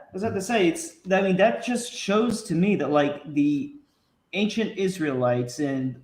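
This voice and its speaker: A man's voice: fairly high pitch, a little mellow. A young man talking animatedly in a casual podcast discussion over a video call, full of "like" and "I mean" fillers. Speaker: podcast panelist. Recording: roomy video call audio.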